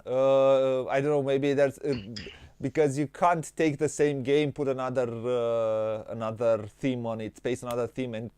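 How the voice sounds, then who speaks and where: A man hosting a casual board game chat, speaking haltingly as he searches for words. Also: mid-range voice, level tone, a little mellow, clear, resonant tone. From Romania. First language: Romanian. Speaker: host of a board game show.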